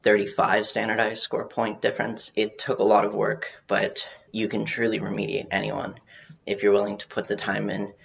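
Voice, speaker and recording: A man giving an informal research presentation, speaking haltingly with some repeated words, on muffled audio. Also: lowish voice, completely flat delivery, vocal fry. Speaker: presenter on learning to read.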